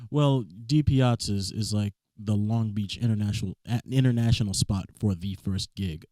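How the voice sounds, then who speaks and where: Young man, raspy, warm dark tone, dry sound, rambling guest chat. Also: fairly low pitch, normal speed, dry recording. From Southern California. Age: young adult. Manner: rambling, stammers on restarts. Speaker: musician guest. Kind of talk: casual interview chat.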